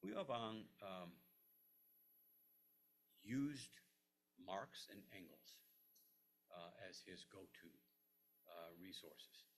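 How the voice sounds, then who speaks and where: An older man, a historian, answering audience questions at a lecture, halting with many restarts and fillers. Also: sits low, lively intonation, breathy edge. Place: lecture room.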